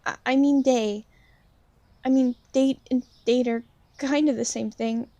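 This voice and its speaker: Shy, insecure young female vampire, played by a woman, for ASMR roleplay; mellow, creaky voice, halting and apologetic. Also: sits high, fairly even intonation, no echo, muffled recording. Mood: flustered, shy. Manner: hesitant, halting, soft-spoken, apologetic. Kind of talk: ASMR roleplay, intimate one-sided conversation.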